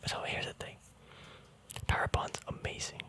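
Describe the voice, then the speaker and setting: A man speaking in a soft, unvoiced whisper, relaxed and chatty, hosting a casual ASMR walkthrough. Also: moderate pace. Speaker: ASMR video host.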